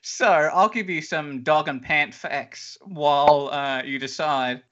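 A man's voice: fairly high pitch, takes it slowly, fairly bright tone. A man with a nasal voice hosting a comedy podcast, playfully announcing rounds. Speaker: host. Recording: lo-fi podcast audio.

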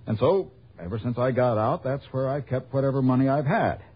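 An American actor playing an anxious, genteel reformed ex-convict in a 1960 radio drama, speaking hesitantly and earnestly. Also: male voice, mid-range voice, brisk, husky, close to an everyday voice. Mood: guarded, confiding. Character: anxious reformed ex-convict robbery victim, proper and evasive. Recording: muffled old radio recording.

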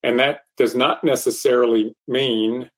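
A man's voice: medium pitch, fairly even intonation. A man with a Texas accent co-hosting an aviation talk show, speaking casually with frequent fillers and restarts. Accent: Texan. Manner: halting, many fillers and restarts. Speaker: podcast co-host.

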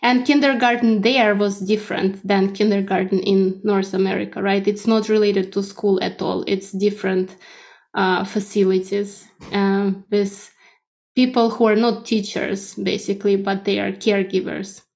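A woman's voice: medium pitch, little pitch movement, moderate pace, creaky, darkish tone. Russian-accented woman explaining in an interview. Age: 30s. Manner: explanatory, often checks with "right?". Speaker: podcast guest. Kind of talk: conversational interview answers.

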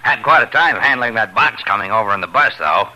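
An actor playing a polite, hesitant and self-effacing family guest in a 1950s radio drama. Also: male voice, lowish voice, animated, fairly quick, bright, forceful tone, low-quality recording. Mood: modest. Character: polite, awkward, apologetic male family guest. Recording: vintage 1950 radio broadcast.

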